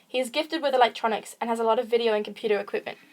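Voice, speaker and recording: Woman, podcast host, slightly high voice, brisk pace, scripted narration, essay-style delivery. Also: a little mellow, a little hiss or hum. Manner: reading aloud from a prepared text. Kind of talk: scripted essay narration, film and book analysis.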